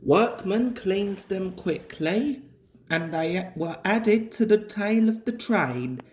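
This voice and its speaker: A woman reading a children's story aloud in a measured, deliberate way, as a scripted storybook narration. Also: mid-range voice, animated, a little mellow. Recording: echoey room.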